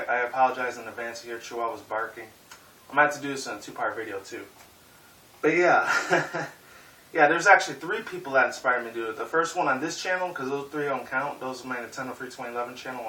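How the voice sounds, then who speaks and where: A young man in his twenties talks loosely in a casual vlog, rambling, swearing and filling gaps with "like" and "um." His voice is bright and forward. He sits back from the mic in an echoey room with a faint hiss. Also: medium pitch. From Puerto Rican and Mexican heritage. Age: 20s. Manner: rambling, profane, filler-heavy. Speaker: YouTuber. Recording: roomy echo, mic at a distance, faint hiss.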